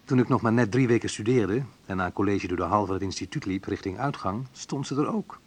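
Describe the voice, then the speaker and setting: A man's voice: on the low side, fairly quick, breathy edge. An actor playing a wry, sensitive young man who recalls his student love life in an intimate first-person radio play monologue. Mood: tense recollection.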